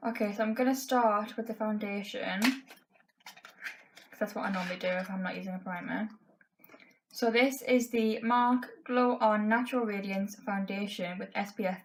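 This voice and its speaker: A woman's voice: medium pitch, slight background noise, poor audio. A woman in her twenties talks straight to a camera in her bedroom, testing makeup as she goes. Her voice is worn and fading, and she speaks frankly, with plenty of fillers. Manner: chatty, frank, many fillers like "um" and "like". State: losing her voice. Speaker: beauty vlogger. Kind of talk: casual makeup tutorial chat. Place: bedroom, indoors.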